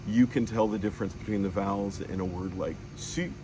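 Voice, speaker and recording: A man teaching a lesson, patiently explaining sounds and drawing out each one slowly as he demonstrates it. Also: fairly low pitch, noisy background. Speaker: language teacher.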